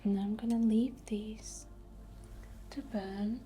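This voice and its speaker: A woman speaking in a soft, breathy whisper, gently guiding a soothing, unhurried ASMR healing ritual. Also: medium pitch, steady tone, soft background music. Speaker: ASMR host. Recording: faint background noise.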